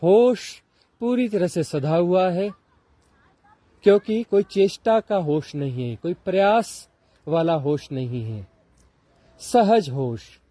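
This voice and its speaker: Indian man, meditation teacher, slightly high pitch, dry close sound, deliberate repeated phrases, pauses. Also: animated, mellow, dry recording. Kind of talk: guided walking meditation instruction.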